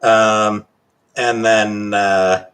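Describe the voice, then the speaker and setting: A man with a Canadian accent chatting casually on a livestream as he answers questions, halting and hesitant, often trailing off. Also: on the low side, level tone, a bit bright. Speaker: livestream host.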